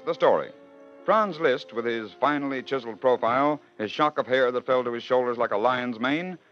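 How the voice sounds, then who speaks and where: A man hosting and narrating in scripted 1940s radio style, with a rhetorical storytelling manner. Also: medium pitch. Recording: dull old radio broadcast.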